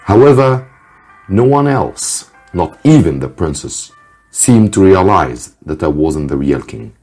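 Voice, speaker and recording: Deep, husky, slow male story reader. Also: very animated, a little mellow. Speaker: English teacher reading a story aloud. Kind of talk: scripted story narration for students. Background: faint music.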